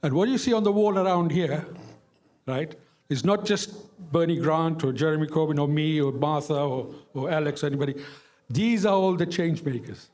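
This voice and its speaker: A man's voice: a little high, moderate pace, a little mellow. An older man from Zimbabwe recounting his years as a councillor and activist in an emphatic, plain-spoken way, repeating phrases for effect. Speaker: former local councillor and activist. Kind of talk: impassioned reminiscence to an audience.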